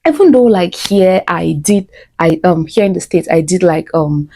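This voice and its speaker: A woman's voice: on the low side, expressive, moderate pace, dark tone. A woman in her twenties from Cameroon, talking casually to her vlog viewers and often calling them "guys". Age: 20s. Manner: chatty, keeps saying "guys" and "like". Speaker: vlogger.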